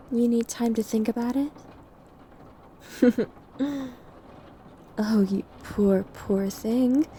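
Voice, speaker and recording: A woman's voice: on the high side, dark tone, slight background noise. A woman voicing an obsessive, possessive, hungry vampire in an ASMR roleplay, speaking intimately and often dropping toward a whisper. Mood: menacing, condescending. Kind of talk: ASMR roleplay audio drama.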